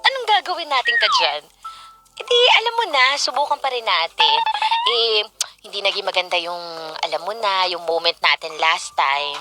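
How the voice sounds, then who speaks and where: A woman's voice: mid-range voice, sing-song, normal speed, over music, lightly acted. An actress playing an eager, insecure young girlfriend in a Filipino radio comedy drama, with a coy, emotional, exaggerated delivery. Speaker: drama actress and first-person narrator.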